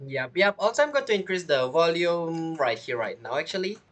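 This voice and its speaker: A woman's voice: lowish voice, big pitch swings, a little fast, background noise. A woman giving casual, playful commentary on a video game as she plays, teasing and reacting to the dialogue. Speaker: gamer playing and commenting. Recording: faint game music behind.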